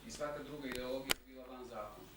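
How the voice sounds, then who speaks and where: A man's voice: mid-range voice, steady tone, racing. A man interpreting consecutively at a conference, summarizing the speaker loosely in his own words. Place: conference hall. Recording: very echoey hall, distant mic, audible hiss.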